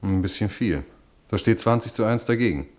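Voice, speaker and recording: An actor playing a sober hospital doctor, questioning and dry, in a German radio drama. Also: male voice, on the low side, lively intonation, brisk, a little mellow, lightly acted. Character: sober male hospital doctor weighing a case.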